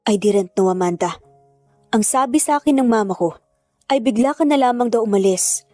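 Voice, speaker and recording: A woman's voice: fairly high pitch, expressive, normal speed, mellow, no room sound, faint music behind. A woman voicing an earnest, protective estranged father in a narrated family drama, urgent and intense. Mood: dismayed.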